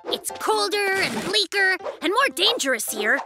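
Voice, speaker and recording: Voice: extremely high pitch, big pitch swings, a little slow, gravelly, music in the background, exaggerated voice. An actor voicing an eager, bossy, boastful little bear cub in a children's cartoon, with a showy, theatrical delivery. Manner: showy, overconfident, theatrical announcing.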